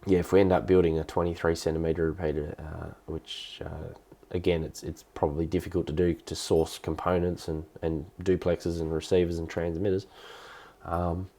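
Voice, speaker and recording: A man with an Australian accent and a cold, explaining things casually and haltingly as the host of a hobbyist live stream. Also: sits low, level tone, creaky, warm tone. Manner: halting, hesitant delivery with repeated words. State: has a cold.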